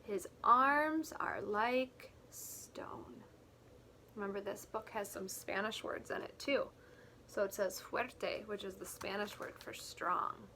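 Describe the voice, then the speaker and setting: A woman teacher reading a picture book aloud to young children, expressively and deliberately, pausing to explain things in simple words. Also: mid-range voice, pushed and bright, a little hiss or hum. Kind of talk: read-aloud storytelling with explanatory asides.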